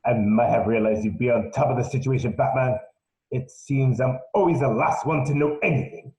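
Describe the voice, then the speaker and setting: A man voicing a worried older police commissioner with a gentle, fretful manner, in a roomy echoey room. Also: mid-range voice, normal speed, close to an everyday voice.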